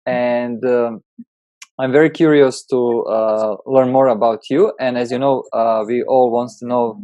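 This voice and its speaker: An interview host who introduces the guest haltingly, with many fillers. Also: medium pitch, fairly even intonation, warm tone, no echo, dull audio.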